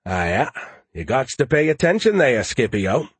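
A man's voice: mid-range voice, lively intonation, normal speed, husky, no room sound. Man as a wry, plain-spoken American soldier. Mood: casual, insistent. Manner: casual, defensive, dry banter.

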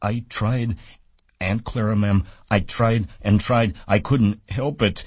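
A man's voice: on the low side, steady tone, takes it slowly, a little mellow, breathy edge, dry recording, voice pushed up. A man voicing a simple-minded, childlike giant of a man who talks in a pleading, plaintive way.

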